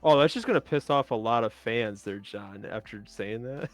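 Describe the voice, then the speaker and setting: A man's voice: medium pitch, normal speed, dry recording, faint music behind. A man chatting casually as a panelist on a livestream round table, often repeating words as he starts. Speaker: panelist in a video game discussion.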